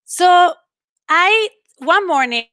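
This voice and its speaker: A woman's voice: very high-pitched, expressive, extremely slow, bright, forceful tone, no echo, clean studio sound. A woman in her thirties from Venezuela with a Venezuelan Spanish accent, telling personal stories earnestly and expressively as a speaker in an online talk. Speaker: panel speaker. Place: indoor room at home, on a video call.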